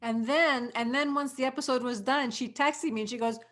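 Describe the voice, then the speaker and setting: A woman's voice: high voice, steady tone, a little fast, a bit bright. A woman in casual chat, recounting scenes hesitantly and repeating words. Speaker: audio drama cast member.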